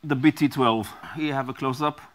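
A middle-aged man explaining and demonstrating a device he designed, speaking haltingly with many ums and restarts, in a small studio on a lapel mic. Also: medium pitch, steady tone, noisy background. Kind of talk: product demonstration.

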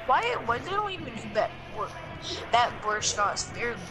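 A gamer talks casually with a friend during play. The speech is informal and full of slang, and music plays clearly behind the voice. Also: medium pitch, very animated, moderate pace. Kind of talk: casual chat during a game.

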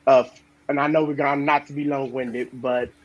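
A man's voice: medium pitch, flat delivery, normal speed, no room sound, muffled recording. A man, a school psychologist, speaking earnestly on a video call, with rambling restarts. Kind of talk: panel discussion.

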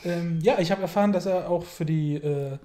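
A man's voice: fairly high pitch, moderate pace, mellow. A young man hosting a casual interview, asking questions in a conversational way. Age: young adult. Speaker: interviewer, host. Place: indoor room, seated beside guest. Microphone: handheld recorder held in his hand.

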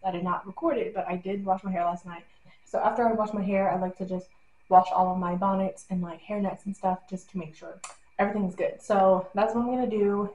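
A woman's voice: medium pitch, darkish tone, muffled recording, slight background noise. A young woman talking casually to the camera in her bedroom, rambling with lots of fillers. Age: young adult. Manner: chatty, rambling, many fillers. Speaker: vlogger. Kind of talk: casual vlog talk to camera. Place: bedroom at home. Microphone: webcam or phone camera close up.

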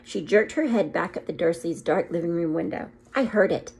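A woman in her forties reading a story aloud to children in an expressive, teacherly way. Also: on the low side, brisk, vocal fry, breathy edge. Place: small room at home.

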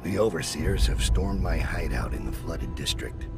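An actor voicing a grim, guilt-ridden middle-aged master assassin in a video game, brooding, deliberate and menacing, with a gravelly voice. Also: male voice, extremely low pitch, expressive, loud music behind the voice, exaggerated voice. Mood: bleak, weary. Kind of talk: video game voice acting, dramatic monologue.

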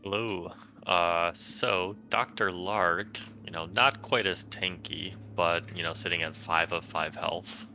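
Guy w a really sharp forceful gravelly voice, halting casual game chat, muffled mic. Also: deep voice, fairly even intonation, soft background music. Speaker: tabletop game player. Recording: dull lo-fi mic, background noise.

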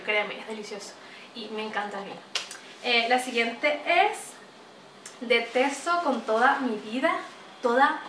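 A young woman from Venezuela with a Venezuelan accent, chatting to camera in a lively, talkative way, recorded in a small bright room. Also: high voice, recorded from a distance, slight background noise. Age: young adult. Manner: chatty, animated, gestures a lot. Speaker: vlogger. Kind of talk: casual chat to camera. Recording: roomy echo, voice a bit off-mic.

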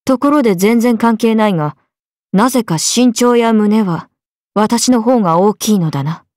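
Female actor for a young swordswoman in an anime game, higher register, dry studio sound. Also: moderate pace, a little mellow, dry recording, lightly acted. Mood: calm, blunt. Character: swordswomen: a lively young one and her stoic alter self. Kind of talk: video game character voice lines.

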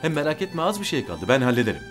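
A man's voice: a little high, very animated, rapid-fire. An actor playing a mild, reassuring working-class father in a TV drama. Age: 50s. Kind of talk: TV drama acting. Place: indoor room in a house, on set. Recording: music under the dialogue.

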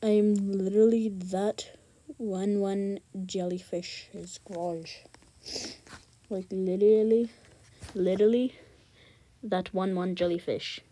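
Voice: mid-range voice, steady tone, takes it slowly, long pauses, dark tone. A gamer giving casual, slangy gameplay commentary and often repeating words and phrases. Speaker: gaming video host.

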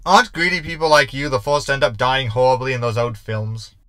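A man's voice: mid-range voice, fairly even intonation, brisk, pushed and bright, clear, resonant tone, no room sound, clean studio sound, close to an everyday voice. A man playing a cocky, sarcastic shapeshifting ship's hologram in a science fiction comedy audio drama, with wordy delivery. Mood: skeptical.

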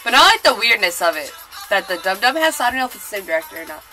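Young woman, congested, bright sharp voice, animated pitch, informal reaction vlogger, faint music behind. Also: rapid-fire, low-quality recording. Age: young adult. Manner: informal, slangy, rambling. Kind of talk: casual chat, excited music video reaction. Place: small bedroom with bunk bed, indoors.

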